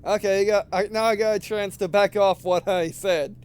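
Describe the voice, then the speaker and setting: High-voiced man rambling through a video game. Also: steady tone, moderate pace, a little mellow, clear, resonant tone. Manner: rambling, thinking aloud, repeating and restarting phrases. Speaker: gameplay video host. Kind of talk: casual let's play commentary, reading game dialogue aloud.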